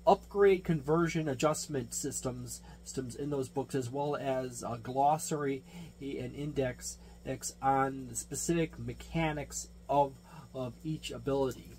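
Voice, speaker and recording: A man's voice: mid-range voice, unhurried, darkish tone, low-quality recording. A man giving a casual, unscripted hobby review and explanation, rambling and correcting himself with emphatic delivery. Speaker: tabletop gaming reviewer and game master. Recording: noticeable background noise with hum.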